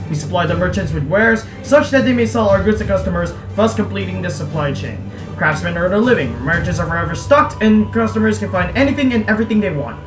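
A man's voice: sits high, expressive, fast talker, reverberant. A young man narrating a visual novel playthrough in a casual, joking way with exasperated asides. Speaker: let's player reading a visual novel aloud. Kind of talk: casual gaming commentary and read-aloud narration. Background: game music.

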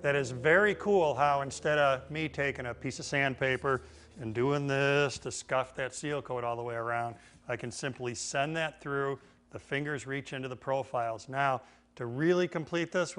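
A man's voice: medium pitch, normal speed, fairly bright tone, clear, resonant tone. A middle-aged man with an American accent giving an informal, folksy hands-on instructional demonstration as a woodworking teacher. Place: indoor woodworking shop.

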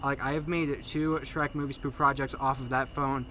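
A young man who stutters, giving a casual update to camera in a halting way, repeating and restarting his phrases. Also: mid-range voice, little pitch movement, pushed and bright, slight background noise. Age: young adult. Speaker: video maker giving a channel update. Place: outdoors at night on a paved path.

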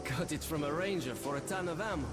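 An actor voicing a gruff guard in an underground station for a video game. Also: male voice, a little high, fast, close to an everyday voice. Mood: matter-of-fact. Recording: loud music behind the voice.

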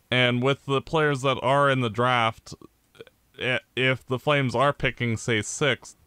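Slow, measured man. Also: mid-range voice, a bit bright, clean tone, dry recording. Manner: measured, explains step by step. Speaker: podcast co-host. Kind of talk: casual sports chat.

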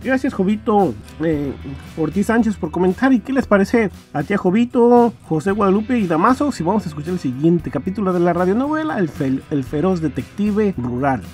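A man's voice: high-pitched, very animated, brisk, a little mellow, faint music behind. A man casually hosting a podcast and reading out comments. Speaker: podcast host.

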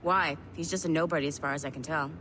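Actress as a fast-talking, flippant woman tech contractor. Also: sits low, steady tone, background noise, close to an everyday voice. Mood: sardonic. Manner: flippant, blunt. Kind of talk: video game acting.

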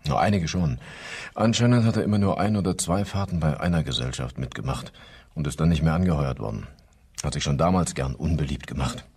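An actor playing a matter-of-fact male local police inspector in a German radio crime drama, with a husky voice. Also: animated, a little fast, darkish tone, full character voice. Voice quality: husky, very deep.

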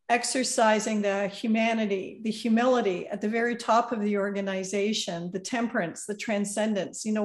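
A middle-aged woman co-hosting an interview over a video call, giving thoughtful, measured comments. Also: medium pitch. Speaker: co-host. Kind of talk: conversational interview. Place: home office. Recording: roomy video call audio.

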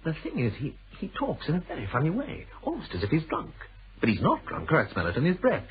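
Anxious, swooping English motorist, tinny radio. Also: male voice, on the high side, huge pitch swings, fast talker. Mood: nervous, confiding. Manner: hesitant, anxious, polite. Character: nervous, polite, suspicious English male motorist. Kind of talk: British radio thriller acting. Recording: noisy, tinny old radio drama recording.

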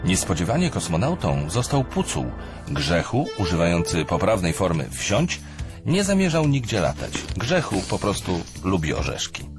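A man's voice: low voice, wildly swooping pitch, normal speed. A man reading scripted humorous narration in a didactic, wry manner, with music underneath.

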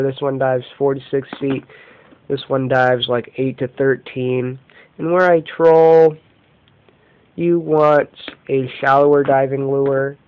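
A man with a mid-pitched voice talks slowly through an informal product show-and-tell. His delivery comes in short, halting phrases. The sound is muffled and narrow, like a cheap camera mic in a room at home. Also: a little hiss or hum. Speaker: amateur fishing vlogger showing lures. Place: indoors, carpeted room at home. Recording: dull, muffled lo-fi home video.

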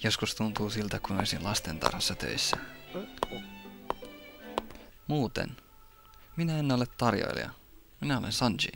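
A man's voice: lowish voice, big pitch swings, vocal fry, full character voice. A man playing a suave, sarcastic young cook with mock-polite theatrical manners in an anime parody dub. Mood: dry politeness. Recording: loud music behind the voice.

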